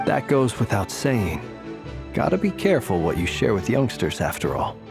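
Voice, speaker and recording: A man playing a wry, easygoing warrior who guards a lighthouse in a video game, speaking in a measured way. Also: lowish voice, huge pitch swings, vocal fry, music in the background, heavily acted.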